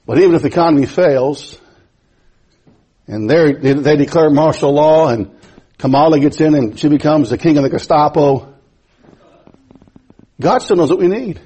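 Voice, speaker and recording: A man preaching a sermon, a native German speaker, who climbs into high emphatic passages and chuckles between phrases. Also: a little slow, pauses often, dark tone, breathy edge.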